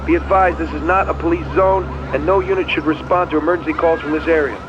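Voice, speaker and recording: An actor playing a brisk, official police dispatcher in a video game, with clipped procedural delivery. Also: male voice, fairly high pitch, fairly even intonation, lo-fi sound, background noise, natural voice. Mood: urgent, official. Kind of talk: radio dispatch announcement.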